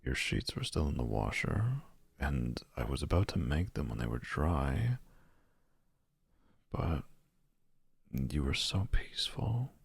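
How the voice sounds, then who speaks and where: A man playing a gentle, caring friend in an intimate audio drama, speaking in a measured, tender, counseling way. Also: big pitch swings, no echo, full character voice. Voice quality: deep, gravelly, husky. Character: gentle, caring male friend and writerly confidant.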